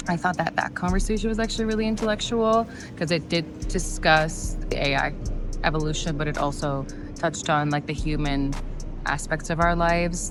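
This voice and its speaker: A young woman whose first language is Arabic, chatting enthusiastically in a casual, rambling vlog. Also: sits low. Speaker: vlogger. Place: airport before a flight. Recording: background music clearly heard.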